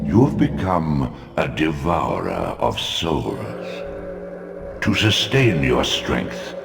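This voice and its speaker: Cavernous, very deep ancient god. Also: male voice, exaggerated voice. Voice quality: deep, booming, gravelly. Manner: slow, grave, oracular pronouncements. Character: ancient godlike spirit mentor, solemn, imperious, otherworldly. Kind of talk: dramatic video game voice acting. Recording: long cavernous reverb with music.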